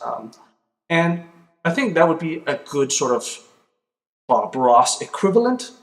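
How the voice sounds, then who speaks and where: A man's voice: a little high, rough recording. Danish man explaining things casually. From Denmark. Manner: relaxed, conversational, with fillers and pauses. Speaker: video host. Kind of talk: casual explainer talk to camera. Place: small home office with a computer. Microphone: webcam or desk camera, close framing.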